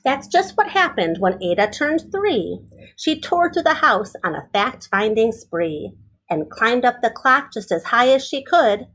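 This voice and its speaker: A woman reading a children's picture book aloud expressively, like a teacher who pauses to explain things and put questions to young listeners. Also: on the high side, big pitch swings, a bit bright, rough recording.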